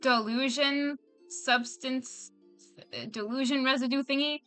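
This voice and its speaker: Bright, clear-voiced woman reacting casually. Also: high-pitched, steady tone, measured pace, faint music behind. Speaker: streamer reacting to a game. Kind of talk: casual live game reaction.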